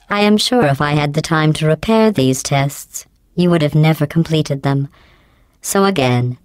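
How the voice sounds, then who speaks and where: A cold, sarcastic female AI from a video game, sneering at her test subjects in a clipped deadpan. Her voice sits low and sounds smooth and polished. It is recorded close and dry, with no room sound. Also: dry recording. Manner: mocking, dry insults in flat delivery.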